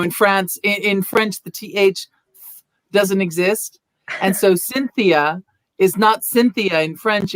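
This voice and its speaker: A woman's voice: mid-range voice, no echo. A woman co-hosting an interview over a video call, playful and telling stories. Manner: playful, storytelling. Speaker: podcast co-host. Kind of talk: casual interview chat.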